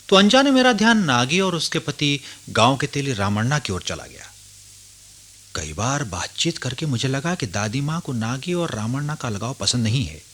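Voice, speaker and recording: A respectful curious grandson in a radio play, earnest, mid voice that moves around a lot. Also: male voice, mid-range voice, fairly bright tone. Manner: earnest, deliberate, dramatic. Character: respectful, curious grown grandson who narrates his memories. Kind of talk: Hindi radio drama acting and narration.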